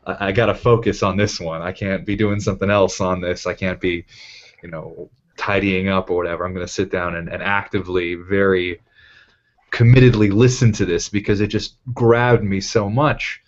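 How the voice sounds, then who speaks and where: Deep voiced guy chatting on a panel, emphatic, stresses words, muffled lo-fi call. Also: steady tone, normal speed. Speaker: cast member on a panel. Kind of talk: casual panel chat. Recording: dull lo-fi voice call.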